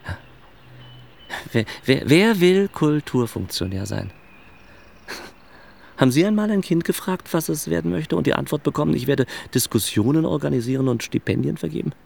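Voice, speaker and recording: A man's voice: on the high side, expressive, moderate pace, vocal fry, long pauses, darkish tone, heavily acted. An actor playing an earnest, flustered male arts administrator in a radio play, awkward and hesitant, rambling in a disillusioned way. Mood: agitated, confessional. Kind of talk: German radio play acting.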